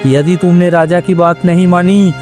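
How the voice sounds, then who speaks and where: A man's voice: sits high, fairly even intonation, moderate pace, a little mellow, soft background music, close to an everyday voice. A man playing a threatening, bullying palace soldier in a cartoon story, menacing in manner.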